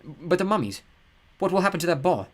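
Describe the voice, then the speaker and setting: A man voicing a frightened but plucky teenage girl who shouts urgent orders. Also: sits high, sing-song, brisk, voice pushed up. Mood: bewildered.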